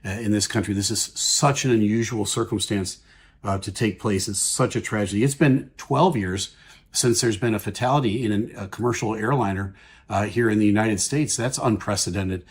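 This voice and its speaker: A man's voice: lowish voice, normal speed, husky. Plain-spoken man explaining aviation audio. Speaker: aviation commentator. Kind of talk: explanatory analysis of air traffic audio.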